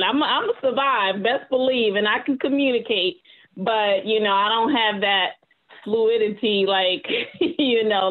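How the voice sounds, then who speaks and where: A middle-aged woman from New Jersey on a video call, telling a personal story in an animated way. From United States, New Jersey. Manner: animated storytelling, mock quotes with big pitch jumps. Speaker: panelist, business owner. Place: home room, indoors. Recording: tinny phone-like call audio.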